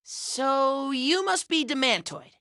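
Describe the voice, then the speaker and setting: A woman voicing an upbeat, friendly young boy hero in an animated game, with a casual, good-natured, awkwardly diplomatic way of talking. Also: high-pitched, slow pace, very noisy. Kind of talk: animated game cutscene acting.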